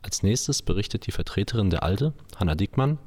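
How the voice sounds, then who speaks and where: Low-voiced man reading news narration. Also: animated, a little fast, a little mellow. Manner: smooth, scripted. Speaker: reporter. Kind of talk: scripted news voice-over.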